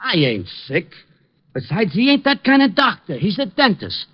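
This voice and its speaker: A man playing a slow-witted, whiny police sergeant who takes offense easily, in comic 1940s radio style. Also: high-pitched, wildly swooping pitch, husky, full character voice. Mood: exasperated. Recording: dull lo-fi old radio recording.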